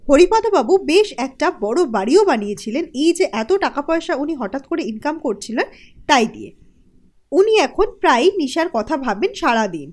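Woman narrator, scripted cartoon storytelling, high pitch, very varied intonation. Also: darkish tone.

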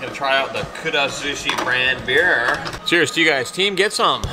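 A man in his fifties hosting a casual food vlog, chatty and playful, reacting loudly as he tastes sushi in a small restaurant. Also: medium pitch, normal speed. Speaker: food vlogger host. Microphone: handheld camera close up. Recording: noisy restaurant room.

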